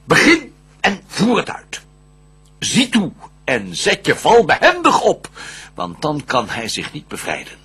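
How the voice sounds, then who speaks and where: A man playing a scheming, vengeful fallen lord of hell in a classical verse radio play, declaiming in measured theatrical style. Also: a little high, wildly swooping pitch, pushed and bright, breathy edge, exaggerated voice. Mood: commanding, triumphant.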